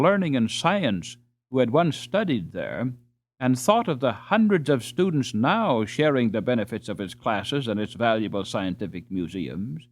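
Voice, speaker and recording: A man narrating a storybook as a scripted audiobook reading. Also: mid-range voice.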